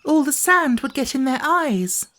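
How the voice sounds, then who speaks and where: A man's voice: very high-pitched, very animated, unhurried, pushed and bright, pitched above the performer's own voice, exaggerated voice. A man voicing an anxious, imaginative little girl in a storybook reading. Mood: fretful. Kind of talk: audiobook character voice.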